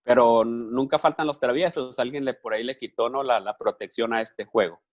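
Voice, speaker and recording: A man's voice: medium pitch, moderate pace. A man working as a live interpreter for a conference speaker, talking conversationally with repeated words and restarts.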